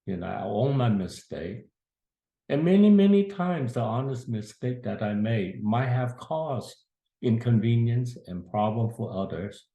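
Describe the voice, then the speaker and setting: A man's voice: medium pitch, lively intonation, a little slow, gravelly, mellow. A man in his eighties telling a family story from his youth, plain-spoken and earnest. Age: 80s. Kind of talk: casual spoken memoir. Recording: echoey room.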